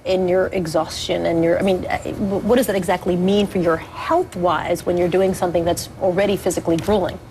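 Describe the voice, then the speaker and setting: A woman's voice: lowish voice, fairly even intonation, fairly quick, few pauses, dark tone, slight background noise, low-quality recording. A woman hosting a TV morning show, asking direct follow-up questions. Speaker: TV news anchor.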